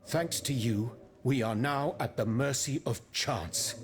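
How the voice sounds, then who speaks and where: A man's voice: mid-range voice, slow. A man playing a stern, cautious scientist of revolutionary France in a video game, formal and reproachful. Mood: reproachful, alarmed. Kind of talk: video game cutscene acting. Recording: faint background noise.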